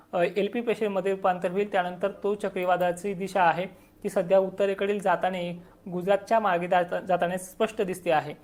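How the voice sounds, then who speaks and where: A woman's voice: mid-range voice, darkish tone. A woman with a Maharashtrian Marathi accent gives an informal weather forecast for farmers, explaining as she lists districts. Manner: explanatory, listing districts one after another.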